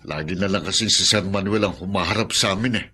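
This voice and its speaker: A man's voice: low voice, fast talker, natural voice. A man playing a worried, humble middle-aged father in a Filipino radio drama.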